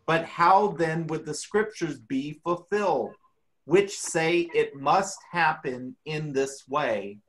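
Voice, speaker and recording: A man's voice: on the high side, slow pace, a bit bright, low-quality recording. A man reading scripture aloud as part of a church dramatic reading.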